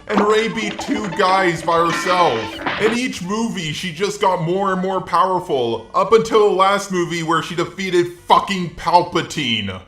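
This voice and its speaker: A man's voice: high voice, a bit bright, reverberant. A man's synthetic robot voice delivering scripted, opinionated commentary in a sardonic, sweary manner. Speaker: video essayist.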